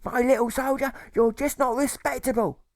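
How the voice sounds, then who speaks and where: A man voicing a hostile elderly mother who scolds in halting, repeated bursts, in an audiobook. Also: extremely high pitch, steady tone, no room sound, studio quality, voice pushed up. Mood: outraged, scolding. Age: older. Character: hostile, ashamed elderly mother, thin and wrinkled.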